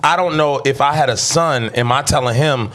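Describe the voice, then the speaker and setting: A man's voice: medium pitch, normal speed, fairly bright tone. A young man hosting a casual podcast, cutting in with "hold on now" and giving blunt dating advice in slangy speech. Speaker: podcast host.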